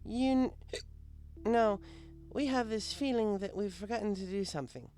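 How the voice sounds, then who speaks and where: A man voicing an imperious, excitable pony princess in a story reading, with commanding, archaic royal speech. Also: high voice, moderate pace, pitched above the performer's own voice. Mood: grand, commanding.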